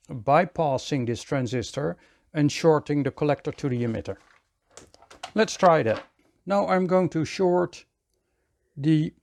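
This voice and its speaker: Male repair-tutorial host, methodical, gravelly fry in a slightly higher voice. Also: a little mellow. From Netherlands. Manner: methodical, explains step by step. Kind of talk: hands-on repair tutorial commentary.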